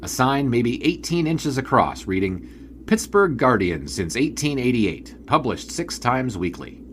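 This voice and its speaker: An actor playing a 1920s sports writer who narrates in a dry, wry, formal manner. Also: male voice, mid-range voice, big pitch swings, a little slow, fairly bright tone, a little hiss or hum. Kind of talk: audio drama narration and acting.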